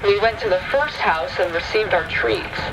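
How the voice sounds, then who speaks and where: A man's voice: high-pitched, lively intonation, normal speed, background noise. A man narrating a scripted first-person horror story. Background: rain and thunder ambience.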